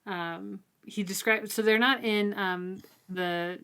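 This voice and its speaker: A woman's voice: mid-range voice, fairly even intonation, takes it slowly, sharp tone, clean tone. A woman hosting a podcast, talking through a book in an informal, thoughtful way. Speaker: podcast host. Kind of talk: casual book discussion.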